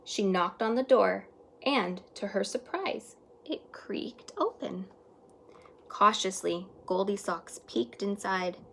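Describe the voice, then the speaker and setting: A woman teacher reading a children's story aloud expressively, stretching words and hushing some for emphasis. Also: mid-range voice, slow, creaky, a little hiss or hum.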